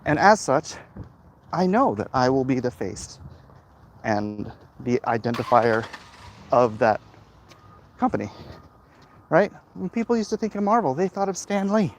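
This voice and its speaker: A man talking casually off the cuff in a rambling, opinionated, halting way, like a livestream host commenting and answering chat. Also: mid-range voice, sing-song. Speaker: host of a livestream vlog, comic creator. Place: outdoors, walking in an urban area. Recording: clear outdoor traffic and street noise.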